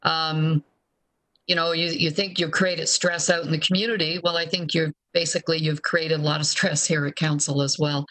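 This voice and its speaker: A man's voice: a little high, little pitch movement, normal speed, sharp tone, poor audio. A man speaking as a city councillor in debate, firm and blunt. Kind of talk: council meeting debate remarks.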